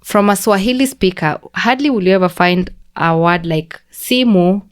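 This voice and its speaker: A woman's voice: medium pitch, moderate pace. A woman with a Kenyan accent, a guest on a casual podcast, explaining things step by step and spelling out examples, with a lot of "like" along the way.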